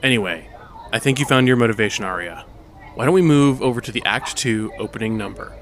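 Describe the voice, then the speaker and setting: A man playing a brisk, exasperated superhero stage director in an audio drama, speaking with authority. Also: medium pitch, background noise, close to an everyday voice. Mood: brisk, directing.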